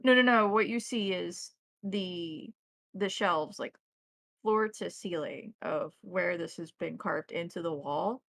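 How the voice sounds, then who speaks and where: Female game master, deliberate descriptive narration, noticeable vocal fry, dry close recording. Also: lowish voice, a bit bright, no room sound.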